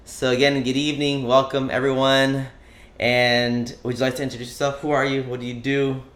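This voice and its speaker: A man's voice: medium pitch, flat delivery, brisk, a bit bright. A man hosting a weekly live show, talking in an upbeat, enthusiastic and playful way. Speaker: host. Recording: echoey room.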